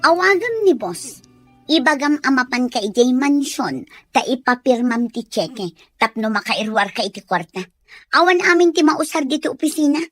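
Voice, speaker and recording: A woman's voice: sits high, expressive, normal speed, a bit bright, no room sound, faint music behind. A woman with a Filipino accent playing a conniving accomplice who eggs on a schemer in a radio drama. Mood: urging. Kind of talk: Ilocano radio drama acting.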